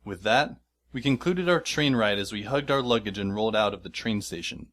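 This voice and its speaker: A man narrating an audio drama as a wry, deadpan teenage boy. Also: fairly low pitch, a little fast, slight background noise.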